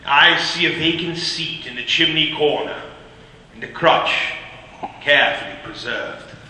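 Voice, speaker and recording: Male actor as a grave giant spirit, radio play, slow, faint hiss. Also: a little high, sharp tone. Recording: echoey hall with faint hiss.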